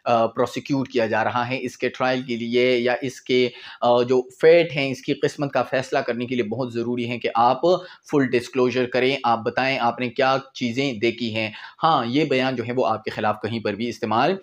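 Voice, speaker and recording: A man's voice: mid-range voice, steady tone, normal speed, hardly any pauses. A man in his twenties with a Pakistani accent, giving an informal, conversational law lecture sprinkled with English terms. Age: 20s. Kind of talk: informal lecture with acted-out examples. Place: small indoor room in front of a whiteboard.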